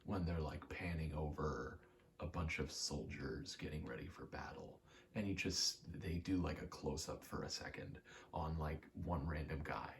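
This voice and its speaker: A man's voice: a bass voice, normal speed, creaky, a little hiss or hum. A young man giving a casual, meandering ASMR ramble while gaming, often interrupting himself in an understated way. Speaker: ASMR creator.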